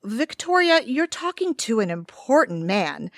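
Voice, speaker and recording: A woman's voice: on the high side, sing-song, a little slow, no room sound, studio quality. A woman acting a polite but evasive bookstore clerk in a comedic audio play, easily flustered. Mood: defensive. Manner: courteous but guarded.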